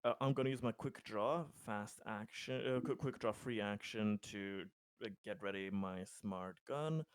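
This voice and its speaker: A man with a smooth, fairly low voice plays a tabletop roleplaying game. He chats casually, and the sound is close and dry. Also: a little slow, no echo.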